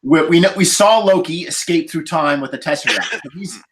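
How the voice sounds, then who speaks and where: A man in casual roundtable chat as a podcast panelist, agreeable and animated like a keen fan. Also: fairly high pitch, a little fast.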